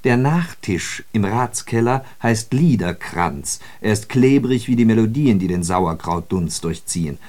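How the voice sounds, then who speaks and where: An actor playing a sardonic, disillusioned socialist who observes city life in a radio drama, with ironic, declamatory and emphatic phrasing. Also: male voice, on the low side, lively intonation, unhurried, few pauses, darkish tone. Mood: biting, mocking. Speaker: radio play speaker. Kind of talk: literary German radio drama monologue.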